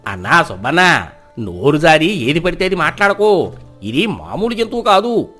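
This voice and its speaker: A man performs a cunning, lazy trickster from a village folk tale. He is boastful and persuasive, with a very animated, fairly high voice. Music plays behind. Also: moderate pace, full character voice. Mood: persuasive, pious.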